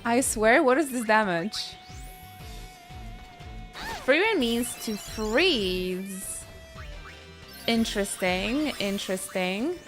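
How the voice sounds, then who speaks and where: A woman's voice: fairly high pitch, expressive, slow, pauses often, a bit bright. A woman live-streaming a video game, chatting casually and reacting with playful exclamations. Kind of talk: casual chat and reactions during gameplay. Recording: game music behind the voice.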